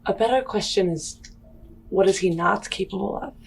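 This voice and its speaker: A woman roleplaying a grave, haunted mother among spacers in a tabletop game, speaking in a halting, solemn way. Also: mid-range voice, vocal fry, slight background noise, close to an everyday voice, low-quality recording.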